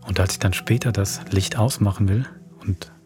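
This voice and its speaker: A man, the producer and host of a horror audio series, telling a spooky scripted introduction in a hushed way. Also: sits low, fairly even intonation, moderate pace, faint music behind.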